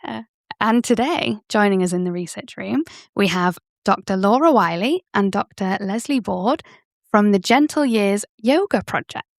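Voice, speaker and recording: A woman's voice: medium pitch, animated, moderate pace, no room sound, clean studio sound. A woman hosting a research podcast, bubbly and encouraging, often laughing as she leads the conversation. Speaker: podcast host. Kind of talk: podcast interview.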